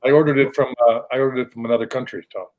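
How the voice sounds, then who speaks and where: A man's voice: medium pitch, steady tone, rapid-fire, rough recording. A man, a guest in a casual chat, talking in an easygoing way over a video call.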